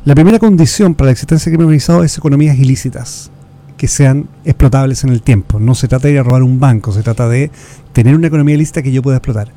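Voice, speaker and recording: A man's voice: medium pitch, racing, mellow. A Chilean man with a Chilean accent, speaking as a guest expert in an earnest, lecturing way, asking rhetorical questions and restarting words. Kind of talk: explanatory interview talk.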